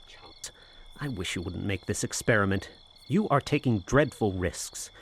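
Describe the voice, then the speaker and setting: A cautious male skeptic. He talks in a formal, earnest way with clear disapproval. His voice sits a little low. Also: lively intonation, moderate pace. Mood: concerned, disapproving. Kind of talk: dramatic audio drama acting.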